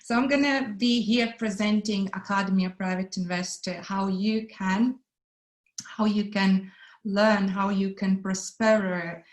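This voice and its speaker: Casting: woman from Latvia, mid pitch, earnest presenter, roomy echo. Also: steady tone, a little slow. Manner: non-native phrasing, earnest. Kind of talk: webinar presentation. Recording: echoey room.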